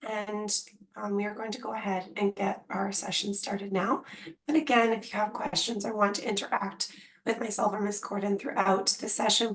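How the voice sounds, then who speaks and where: A woman's voice: mid-range voice, rough recording. A woman who has lost much of her voice, hosting an online information session as an associate dean and enunciating carefully over a video call. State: losing her voice.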